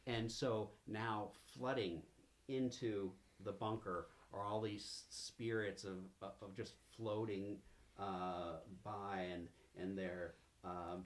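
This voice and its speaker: A man narrating a casual tabletop game as game master, halting as he improvises his way along. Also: low-pitched, roomy sound.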